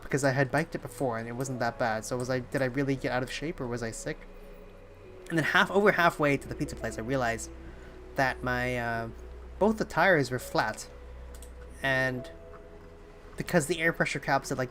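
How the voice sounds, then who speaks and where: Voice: medium pitch, fairly bright tone, a little hiss or hum. A streamer chatting casually during a game livestream in a rambling, informal way.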